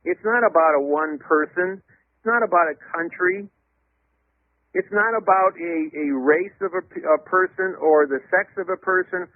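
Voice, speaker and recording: A man speaking as an interview guest, explaining at length in an emphatic, didactic, loud voice. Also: high voice, moderate pace, no echo. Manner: emphatic, didactic, spells out words letter by letter. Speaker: interview guest, legal theorist. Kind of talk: explanatory radio interview monologue. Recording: dull, lo-fi radio or phone sound.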